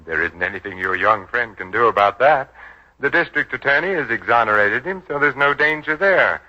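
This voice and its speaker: An actor playing a suave, calculating industrialist in a 1940s radio drama, sly and unruffled. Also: male voice, mid-range voice, expressive, brisk, bright, forceful tone. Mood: coolly persuasive. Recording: dull lo-fi 1940s radio broadcast.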